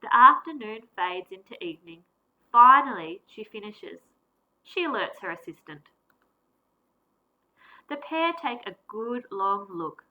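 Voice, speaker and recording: A woman primary school teacher reading a picture book aloud to children, with expressive, deliberate storytelling and varied emphasis. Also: medium pitch, low-quality recording.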